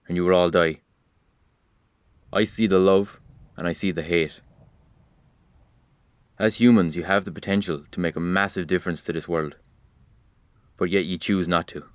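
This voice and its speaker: Guy playing the rain as a deep voiced narrator, judging humanity, wry and tired, talking right at you, radio play monologue. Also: no echo, lightly acted. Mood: reproachful. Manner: direct address to the listener, wry and reproachful. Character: Rain itself, a weary, judging male observer of humanity. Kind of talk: scripted audio drama monologue.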